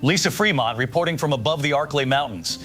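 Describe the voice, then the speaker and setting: Male news anchor, acted for audio drama: composed, formal, brisk bulletin delivery over music. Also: on the high side, fairly bright tone, lightly acted. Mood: composed, serious. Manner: formal, measured newsreader delivery.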